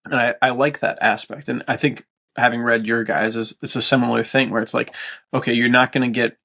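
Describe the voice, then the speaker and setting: Male guest, mid-range voice with plenty of fry, enthusiastic, slangy, casual. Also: mid-range voice, steady tone, a little fast, pushed and bright. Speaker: podcast guest, author. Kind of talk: casual chat.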